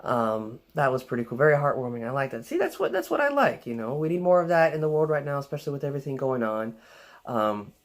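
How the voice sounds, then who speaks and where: A young man with a soft voice, vlogging in a casual, rambling way, full of fillers and restarts. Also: mid-range voice. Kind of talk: casual rambling vlog talk.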